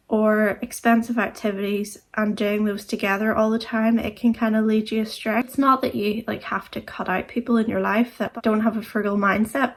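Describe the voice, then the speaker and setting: Croaky woman giving chatty money tips. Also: fairly high pitch. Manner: chatty and unhurried, leans on "like". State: croaky from a cold. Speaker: frugal living vlogger. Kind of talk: casual advice vlog.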